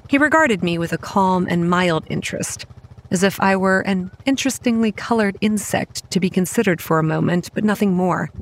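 An actress playing a wary, anxious young campground manager who narrates a horror audio drama in the first person. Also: female voice, lowish voice, a little hiss or hum, lightly acted.

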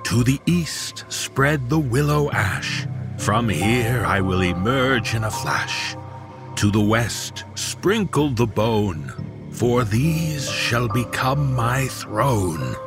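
Slow, gravelly ominous spirit. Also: male voice, mid-range voice, lively intonation, over music. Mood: solemn, ominous. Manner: solemn, incantatory, deliberate. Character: ominous male ritual spirit reciting rhymed instructions. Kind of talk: old time radio horror acting.

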